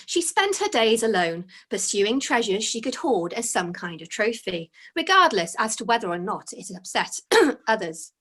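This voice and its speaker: A woman reading her own children's story aloud as its author, in an expressive storytelling style. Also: fairly high pitch.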